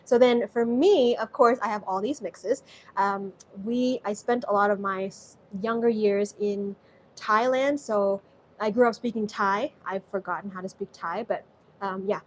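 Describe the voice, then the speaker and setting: A woman's voice: mid-range voice, a little slow, a little hiss or hum, rough recording. A woman from Southeast Asia hosting a live-stream cooking show from her home kitchen, chatting loosely and reading viewer comments aloud. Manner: chatty, reads viewer comments aloud, rambling with many restarts. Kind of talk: casual live-stream chat while cooking.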